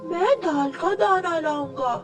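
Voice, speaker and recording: A woman voicing an eager, friendly little male bird child in a cartoon story, pleading and repeating words excitedly. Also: very high-pitched, slow pace, pitched above the performer's own voice. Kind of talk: Hindi cartoon story acting. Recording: distant mic with music behind.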